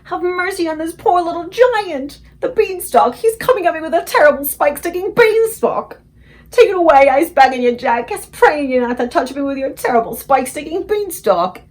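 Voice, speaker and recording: A woman's voice: medium pitch, big pitch swings, slow pace. A woman voicing a huge, terrified male giant who shrieks and pleads in mangled grammar. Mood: terrified shrieking.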